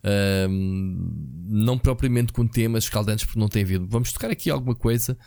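Homem rouco, voz grave, seca. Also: male voice, on the low side, fairly quick, dry recording, clean studio sound. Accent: European Portuguese. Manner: relaxed, rambling, many fillers and restarts. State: hoarse. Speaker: podcast co-host. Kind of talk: casual chat about video games.

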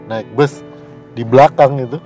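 A man from West Java co-hosting a casual podcast, easygoing and quick to chime in with agreeing murmurs. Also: mid-range voice, fairly even intonation, mellow, soft background music. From West Java, Indonesia. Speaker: podcast co-host. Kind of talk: casual chat.

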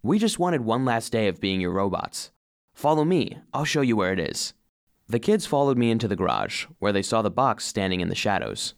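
Picture an earnest robot vacuum telling a children's story. The voice sits fairly low but swings expressively through each line, recorded close and dry. The delivery is scripted, loyal and a little anxious. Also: male voice, big pitch swings, moderate pace, vocal fry, no echo. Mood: earnest, storytelling. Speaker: narrator. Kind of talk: scripted first-person children's story narration.

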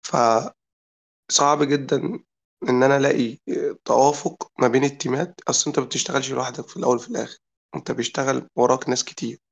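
A man's voice: medium pitch, steady tone, quick pace, creaky. A man with an Egyptian accent and a cold that has worn down his voice. He explains his point at length in a casual group discussion and keeps checking that his listeners follow him. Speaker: product designer in a group chat.

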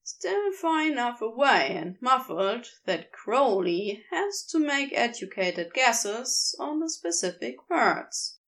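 Podfic reader: woman, slow measured pace, lively pitch, fairly high voice. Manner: measured expressive storytelling. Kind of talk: fanfiction audiobook narration.

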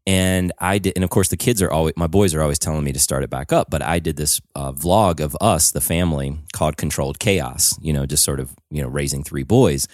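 A man hosting a podcast who tells rambling, animated stories full of "like"s. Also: very deep voice, a little fast, few pauses, no room sound, clean studio sound. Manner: rambling, animated storytelling with many "like"s. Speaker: podcast host. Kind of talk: casual podcast chat.

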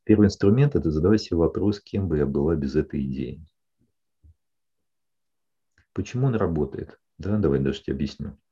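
A male psychologist calmly explaining ideas to a client in a session, often checking in with short questions. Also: extremely low pitch, a little fast, long pauses, husky, highs cut off. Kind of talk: counseling session.

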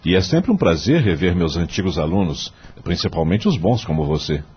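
A man's voice: deep voice, big pitch swings, normal speed, breathy edge, heavily acted. An actor playing a genial, formal elderly male law professor in a radio drama. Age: older. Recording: dull, lo-fi radio recording.

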